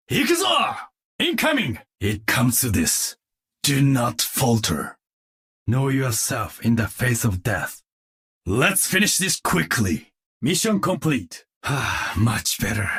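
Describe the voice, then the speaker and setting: An actor playing a disciplined, stoic cyborg ninja swordsman in a video game, with a husky voice, a Japanese accent and formal, clipped phrasing. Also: medium pitch, a bit bright, exaggerated voice. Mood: urgent, commanding, then grave, quiet. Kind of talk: video game combat voice lines.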